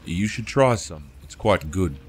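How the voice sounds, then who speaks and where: A man's voice: sits low, lively intonation, unhurried, husky, full character voice. A man playing a dry, unbothered husband in an audio drama, wry and a little wordy. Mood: smug, content.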